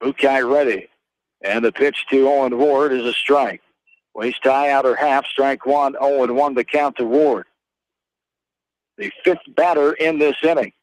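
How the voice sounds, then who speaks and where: An American man calling a baseball game live on the radio as play-by-play announcer, in terse, clipped phrases with pauses. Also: mid-range voice, steady tone, no echo, poor audio.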